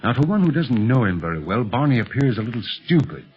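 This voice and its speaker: A man playing a calm, sharp-minded secret agent and fatherly uncle in a 1930s radio serial, reasoning in a measured, reassuring way. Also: medium pitch, slightly rough. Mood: serious, deliberate. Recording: muffled vintage 1930s radio recording.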